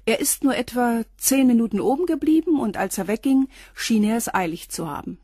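Radio crime drama: a guarded concierge, slightly husky, dry recording. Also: female voice, on the high side, brisk, warm tone, no echo. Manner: guarded, short replies. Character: guarded neat concierge, about forty. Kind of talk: radio play acting.

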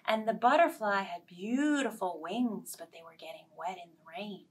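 A woman in her fifties telling a story to young children as a storytime host, expressively, dropping into hushed phrases. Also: medium pitch, moderate pace, distant mic. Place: small indoor storytime set.

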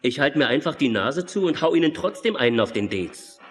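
A man's voice: medium pitch, big pitch swings, a little fast, soft background music, natural voice. Actor as brash, cheeky ship's radio man. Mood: brash, mocking. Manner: brash, slangy, dismissive. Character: cheeky, gruff male ship's radio operator. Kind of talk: German audio drama acting.

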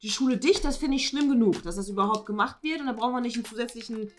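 A woman's voice: on the high side, rapid-fire, faint music behind, natural voice. A woman playing a grumpy, strict killjoy teacher in an improvised comedy sketch, nagging and rambling with indignation. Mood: scornful.

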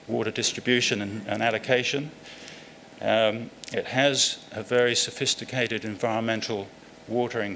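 A man's voice: lowish voice, a bit bright, slight background noise. A middle-aged man giving a conference keynote from a podium in a large lecture hall, explaining steadily with frequent ums and uhs. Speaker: keynote speaker.

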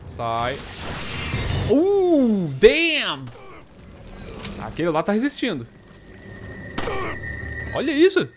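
A man's voice: sits high, huge pitch swings, extremely slow, pauses often, over music. A Brazilian man in his thirties giving casual, slangy, exclamatory commentary as he plays a video game. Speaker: gaming streamer. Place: small indoor room. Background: video game soundtrack and effects.

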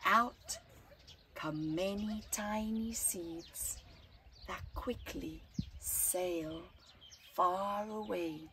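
A middle-aged woman reading a picture book to children expressively, with deliberate dramatic pauses between short phrases. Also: mid-range voice, background noise. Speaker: children's storytime host. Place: outdoors in an open gazebo at a flower farm. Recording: outdoor recording with birdsong behind. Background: birds chirping.

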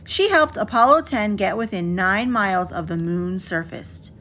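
A woman reads a children's picture book aloud in an expressive, carefully enunciated storytelling manner. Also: medium pitch, moderate pace. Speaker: storybook reader. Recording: dull lo-fi audio with clear background noise.

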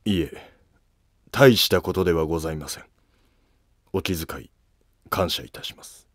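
A dutiful palace guard official , played by a man in an animated period drama. He is stern and guarded, giving curt formal replies in a low, dry-recorded voice. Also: normal speed, no room sound. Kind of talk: Japanese historical animated drama acting.

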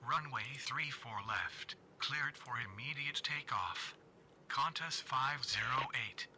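A man voicing a brisk airline pilot reading back instructions over aircraft radio in a simulator game. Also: medium pitch, bright, forceful tone. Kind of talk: clipped radio readback. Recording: harsh, faintly noisy radio audio.

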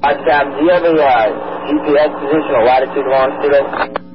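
A man working as a coast guard radio operator, asking questions in a measured, procedural way. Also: medium pitch, steady tone. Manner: measured, procedural, ends turns with "over". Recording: muffled marine radio with loud static.

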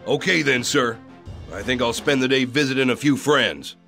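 An actor playing a brash, impatient American general, pleading and cocky in a game cutscene. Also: male voice, mid-range voice, moderate pace, vocal fry, sharp tone. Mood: defiant. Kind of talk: video game cutscene acting. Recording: over background music.

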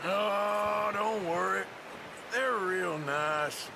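An actor playing a gruff, sardonic American outlaw gunslinger in a cinematic western game. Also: male voice, high-pitched, very slow, background noise, heavily acted. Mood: menacing. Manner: dry, sardonic, threatening. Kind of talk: cinematic video game acting.

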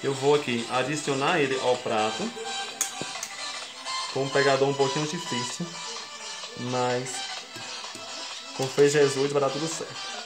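A man's voice: medium pitch, fairly even intonation, unhurried, long pauses. A young Brazilian man hosting a casual home cooking tutorial, walking viewers through each step in a friendly, chatty way. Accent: Brazilian Portuguese. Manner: chatty, explains each step and keeps addressing viewers as folks. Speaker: cooking show host. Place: home kitchen, indoors. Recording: echoey kitchen with background music.

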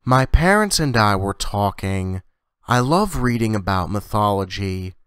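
A man's voice: lowish voice, lively intonation, measured pace, fairly bright tone, clear, resonant tone, no room sound, studio quality. A man reading a scripted first-person scary story as a horror narrator.

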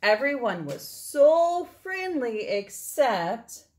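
Higher-voiced woman reading a children's story. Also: big pitch swings, drawn-out words, darkish tone. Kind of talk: children's read-aloud storytelling with asides to listeners. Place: indoors, small room at home.

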